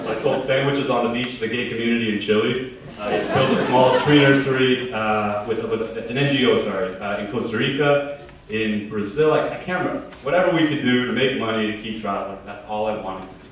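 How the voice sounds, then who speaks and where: A man's voice: mid-range voice, a bit bright, far from the mic, a little hiss or hum. A man in his thirties giving a casual, joking keynote talk to a live audience, telling his story in a large conference hall. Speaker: keynote speaker. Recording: very echoey hall, heard from afar.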